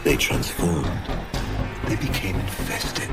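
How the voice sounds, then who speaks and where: Deep, slow, gloating aristocratic villain. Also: male voice, sing-song, gravelly, full character voice. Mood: grave, bitter. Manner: theatrical, slow, deliberate menace. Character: cold, gloating aristocratic villain, grandiose and cruel older schemer. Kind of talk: dramatic video game cutscene monologue. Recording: voice under loud music.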